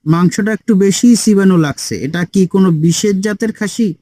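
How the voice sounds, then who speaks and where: A man voicing a nosy, greedy, excitable neighbour uncle in a comedy cartoon, blustering and exclamatory. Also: sits high, normal speed, over loud music, lightly acted. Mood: nosy, eager.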